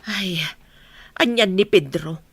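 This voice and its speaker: A woman's voice: mid-range voice, takes it slowly, a bit bright, husky, dry recording. A woman with a Filipino accent playing an anxious, indignant village farm wife in a radio drama. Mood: alarmed, indignant.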